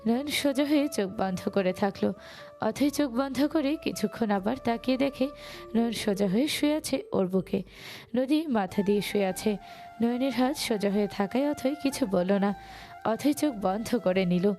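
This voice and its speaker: A woman's voice: on the high side, normal speed, mellow, soft background music. A woman reading scripted narration for a romantic audio story.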